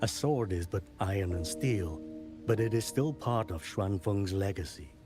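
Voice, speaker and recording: An actor playing a kindly, solemn old village chief in a fantasy game, speaking in a measured, formal, grave manner. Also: male voice, low-pitched, lively intonation, over music. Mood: gentle, grave. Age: older. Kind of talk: scripted fantasy game dialogue.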